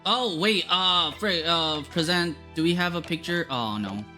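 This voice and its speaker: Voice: medium pitch, measured pace, pushed and bright, faint music behind. A streamer casually commenting on a game playthrough, rambling, swearing and laughing.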